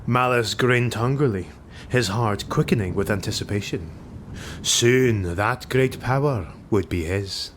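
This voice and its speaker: A man's voice: lowish voice, a little slow, fairly bright tone. A man narrating a fantasy audiobook in a dramatic, scripted storytelling style. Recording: noisy home recording.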